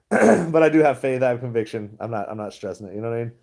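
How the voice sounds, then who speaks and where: A man's voice: medium pitch, expressive, fast, gravelly, a little mellow. A man chatting casually to his viewers, rambling and laughing often. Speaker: stock vlogger. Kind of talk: casual livestream chat.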